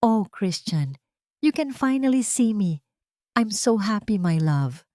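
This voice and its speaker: A woman's voice: mid-range voice, big pitch swings, slow, dark tone, no echo, studio quality, pitched above the performer's own voice. A woman voicing a spoiled, fawning young rich woman in an audio drama, with a gushing, affected manner.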